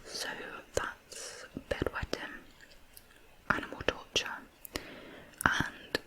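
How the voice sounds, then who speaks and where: A woman narrates true crime in ASMR style, in a soft, breathy, unhurried whisper. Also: rough recording.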